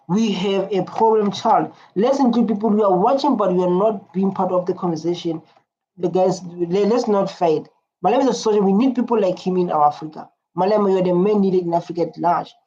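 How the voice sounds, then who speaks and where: A mellow, darker voice talks loosely over a clip. It rambles through viewer comments and drifts into its own thoughts. The recording sounds dull and lo-fi, with the top end rolled off. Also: medium pitch, moderate pace. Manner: rambling, heavy use of "like" and restarts. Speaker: reaction video host.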